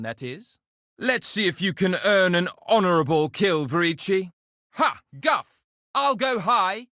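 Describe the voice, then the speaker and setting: A duelist who relishes a scrap in a video game, speaking. The voice is high, forceful and very dry, close in the ear. His short challenges are cocky yet sporting and gentlemanly. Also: no room sound, heavily acted. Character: cocky, chivalrous male duelist eager for a scrap.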